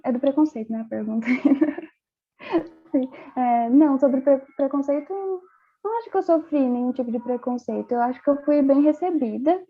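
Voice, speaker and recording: Young Brazilian woman guest, chatty, laughs a lot, high voice, tinny phone-like sound. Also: moderate pace. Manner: chatty, laughs often, many fillers. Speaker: interview guest, former exchange student. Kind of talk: casual interview chat.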